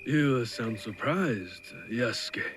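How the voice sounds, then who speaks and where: An actor playing a weary, stern older samurai lord in a video game, speaking formally and probingly. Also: male voice, medium pitch, lively intonation, slight background noise. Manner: formal, measured, questioning. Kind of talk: video game cutscene acting. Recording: loud music behind the voice.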